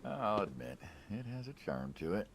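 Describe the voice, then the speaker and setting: An actor playing an affable middle-aged mobster in a story game, gravelly, conversational and coaxing, with quiet menace. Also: male voice, lowish voice, sing-song, normal speed, a little hiss or hum. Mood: easygoing. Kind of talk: naturalistic narrative game voice acting.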